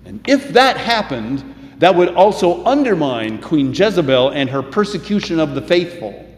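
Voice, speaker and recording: An older man preaching a sermon in measured tones, slowing down for emphasis, in a church sanctuary. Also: on the high side, sing-song. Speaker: pastor. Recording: echoey hall, faint room noise.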